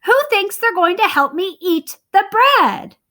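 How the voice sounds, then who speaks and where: A woman's voice: very high-pitched, animated, a little slow, pushed and bright, pitched above the performer's own voice, heavily acted. A woman voicing an indignant, scolding little red hen from a storybook, emphatic and complaining. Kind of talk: storybook character voice.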